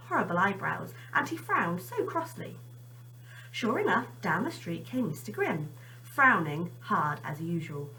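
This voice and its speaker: A woman's voice: mid-range voice, pushed and bright, far from the mic, a little hiss or hum, low-quality recording. A woman teacher chatting informally to children and reading them a story expressively.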